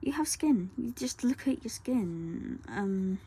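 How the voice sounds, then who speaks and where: A woman, talking softly through a colour-along tutorial and often dropping to a whisper. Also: medium pitch, lively intonation, slow, husky. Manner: soft, rambling, often drops to a whisper. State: croaky voice.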